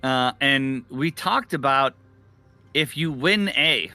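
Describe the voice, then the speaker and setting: A man's voice: mid-range voice, slow, sharp tone, no echo, faint music behind. A man co-commentating live esports, emphatic and given to repeating phrases for effect.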